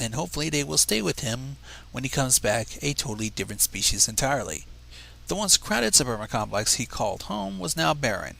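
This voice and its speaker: A man's voice: medium pitch, creaky, sharp tone, rough recording. A man reading a story aloud in a dramatic, wry manner, slipping into casual asides between narrated passages. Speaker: livestream fanfic reader.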